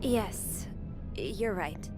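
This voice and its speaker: An actress playing a young, curious and polite hotel maid in a video game. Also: female voice, mid-range voice, animated, drawn-out words, music in the background. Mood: meek, nervous.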